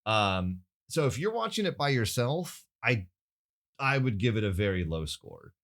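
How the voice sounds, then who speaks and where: A man co-hosting a podcast, talking about a movie in an animated, giggly way. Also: fairly low pitch, a little slow. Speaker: podcast co-host. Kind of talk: casual movie review chat.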